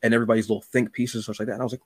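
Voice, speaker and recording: A man with a low voice hosts a casual interview podcast. He talks effusively and rambles, doubling back on words as he goes. The sound is dry and close, with very little room in it. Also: flat delivery, very fast, a little mellow, slightly rough, no echo.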